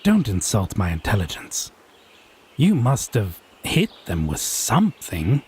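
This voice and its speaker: A man's voice: lowish voice, huge pitch swings, measured pace, a little mellow, a little hiss or hum. A man playing a smug, tipsy male fae who teases his lover with mocking, sardonic condescension in a theatrical audio roleplay. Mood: amused, condescending. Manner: drawling, mocking, sardonic, pet names. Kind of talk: slow theatrical audio roleplay monologue addressed to listener.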